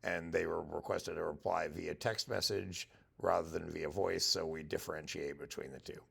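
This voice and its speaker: A man with a gravelly, husky voice, casually walking through a software demo and thinking aloud as he goes. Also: low voice, fairly even intonation, normal speed. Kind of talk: casual screen-share product walkthrough.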